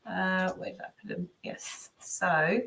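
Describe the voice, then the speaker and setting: A woman's voice: on the low side, steady tone, slow, creaky, fairly bright tone, low-quality recording. A woman hosting a book readalong livestream, chatting casually in a hesitant way as she thinks aloud. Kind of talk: casual live-stream chat, reading out comments and cards.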